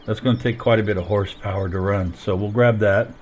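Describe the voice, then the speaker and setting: A man's voice: on the low side, steady tone, quick pace, darkish tone, slight background noise. A man streaming a farming game while sick and losing his voice, explaining each step as he plays into a headset. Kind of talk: casual gameplay commentary.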